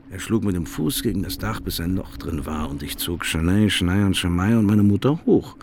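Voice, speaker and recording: Ein älterer Schauspieler spielt einen Großvater, der in einem Hörspielmonolog gefasst von der Flucht seiner Familie vor einer Flut erzählt. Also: male voice, deep voice, creaky, darkish tone, slight background noise. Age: older. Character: grandfather Robert steadily recounting his family's flood escape. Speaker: radio drama actor. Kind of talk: dramatic first-person survivor monologue.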